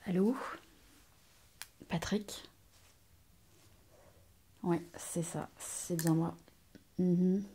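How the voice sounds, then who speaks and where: A woman playing a mildly exasperated hospital doctor in a soft, often whispered ASMR roleplay, speaking hesitantly. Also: fairly low pitch, steady tone, very slow, pauses often, a little hiss or hum. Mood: pleading, hesitant. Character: female hospital doctor, mildly exasperated with her colleague, coaxing.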